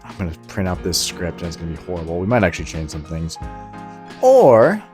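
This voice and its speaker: A man's voice: deep voice, wildly swooping pitch, brisk, music in the background. A man hosting with casual, self-mocking commentary, irreverent and sweary. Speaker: host.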